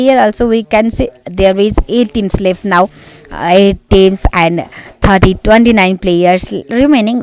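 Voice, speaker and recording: A woman with a Nepali accent calls a mobile battle royale match live in smooth, resonant tones. Her English is halting and full of fillers as she tracks teams and the circle. Her pitch sits mid-range. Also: rough recording. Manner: halting non-native English, frequent fillers. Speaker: esports caster. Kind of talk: live esports commentary.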